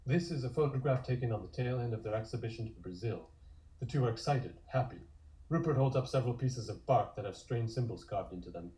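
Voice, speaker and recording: A man's voice: mid-range voice, fast, mellow, rough recording. A man narrating scripted scenes in the present tense for a comic table read.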